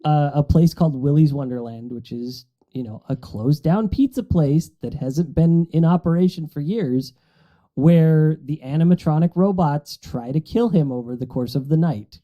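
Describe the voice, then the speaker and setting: A man's voice: on the high side, normal speed, lo-fi sound. A man chatting casually on a podcast about movies, telling things in a rambling, amused way. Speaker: podcast panelist.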